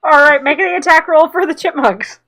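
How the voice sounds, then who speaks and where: A woman in her thirties running a tabletop game over a headset on a video call, laughing often, her voice getting hoarse from laughter. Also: high voice, brisk, a bit bright, low-quality recording. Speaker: game master. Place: small room at home, video call.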